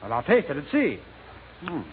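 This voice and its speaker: A man's voice: on the high side, wildly swooping pitch, normal speed, narrow, tinny audio. A man playing a goofy, dim-witted stooge in 1940s radio comedy, with exaggerated clownish delivery on an old noisy recording. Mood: silly, gullible.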